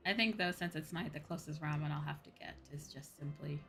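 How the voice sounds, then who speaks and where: A woman's voice: deep voice, a little fast, fairly bright tone. A woman livestreamer chatting casually and politely while gaming, musing to her audience. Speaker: livestreamer and host. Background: music.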